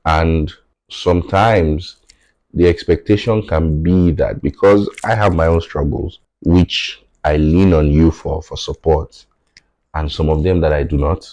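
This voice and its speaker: Deep-voiced Nigerian man, dry close sound. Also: fairly even intonation, a little mellow, dry recording. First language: Yoruba. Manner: measured and thoughtful, often tags "right?". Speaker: podcast guest, tech founder. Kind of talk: reflective conversational interview.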